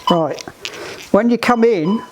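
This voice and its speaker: A man giving hands-on instruction to a group in a halting, deliberate, emphatic way, on an amateur recording. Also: high voice, background noise. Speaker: sailing club instructor giving radio training.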